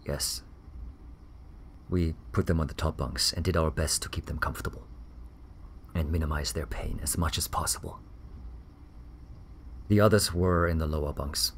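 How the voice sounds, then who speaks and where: An actor playing a weary, defensive ex-army doctor in a grave, measured audio drama interview. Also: male voice, very deep voice, fairly even intonation, a little hiss or hum. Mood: somber.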